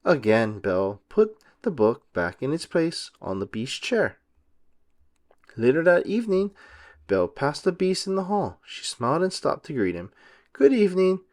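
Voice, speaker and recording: Animated man reading a children's story. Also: medium pitch, moderate pace. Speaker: read-aloud narrator for children. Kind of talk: expressive scripted storybook narration.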